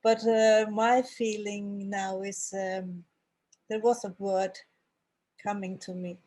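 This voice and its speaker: A woman's voice: medium pitch, flat delivery, slow pace, darkish tone. A woman with a Norwegian accent shares a reflection on a group video call, speaking hesitantly and searching for her words in English. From Norway.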